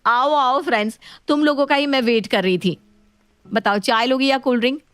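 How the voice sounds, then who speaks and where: A woman's voice: high-pitched, brisk, a bit bright, clean tone, no echo, voice pushed up. A woman playing a breezy, confident modern sister-in-law in a dramatized story. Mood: friendly. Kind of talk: story dialogue.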